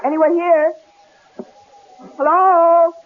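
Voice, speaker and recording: An actress with an American accent playing a headstrong, independent wife in a 1970s radio drama, expressive and quick to stammer when rattled. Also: female voice, very high-pitched, heavily acted. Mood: bold, curious. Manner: bold, stammers when frightened. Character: headstrong, independent wife, skier, snowed in with husband. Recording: tinny, lightly noisy old radio broadcast.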